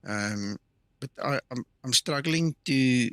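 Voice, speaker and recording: Male streamer, non-native English speaker; bright, dry delivery; casual chat with viewers. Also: mid-range voice, slow, no echo. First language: not English. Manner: casual and chatty, greets viewers by name, many fillers and restarts. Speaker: flight sim streamer. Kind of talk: live stream chat, reading and answering viewer comments.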